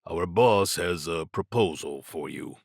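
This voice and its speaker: A diplomatic male secret agent as a male narrator acts him out in an audiobook. The voice is low and close, with a measured delivery. The manner is conciliatory and calm. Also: vocal fry, no room sound, heavily acted. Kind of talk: audiobook character dialogue.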